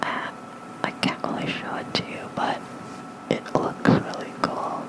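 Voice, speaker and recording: An ASMR host reading lore in a soft, breathy whisper into a close microphone, unhurried and halting, with informal asides. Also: rough recording. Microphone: close fluffy-covered ASMR microphone.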